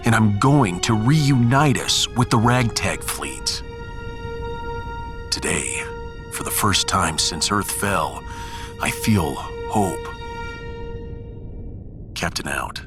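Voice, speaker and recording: A man's voice: low voice, lively intonation, takes it slowly, pauses often, over music, pitched below the performer's own voice. A man playing a wry, resolute and hopeful starship captain in a sci-fi audio drama, speaking earnestly. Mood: reflective, resolute.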